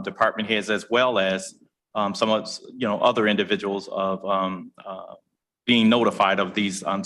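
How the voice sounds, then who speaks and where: A man with a lowish voice poses a long question to a committee. He circles his point with frequent ums and restarts. His delivery is formal but loose. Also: pushed and bright. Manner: rambling, many ums and restarts. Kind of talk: questioning at a public meeting. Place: county commission meeting room.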